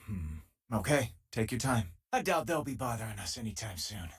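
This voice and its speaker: A man voicing an easygoing, wry spacefaring crewmate in a video game, with a husky voice and a casual, conversational delivery. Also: on the low side, sing-song, moderate pace, full character voice. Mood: dry, easygoing. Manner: casual, conversational, dryly joking. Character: easygoing, wry male spacefaring crewmate, loyal and self-deprecating. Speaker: game companion character. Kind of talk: video game voice lines, naturalistic acting.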